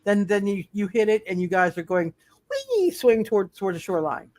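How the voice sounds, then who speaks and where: A game master running a live tabletop superhero roleplay, speaking haltingly and often repeating words and phrases. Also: medium pitch, steady tone, darkish tone.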